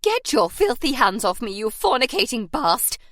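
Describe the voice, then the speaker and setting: A woman voicing a spiteful, shrieking woman employer who scolds loudly in an audiobook. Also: sing-song, normal speed, dry recording, studio quality. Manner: loud, spiteful, shrill scolding.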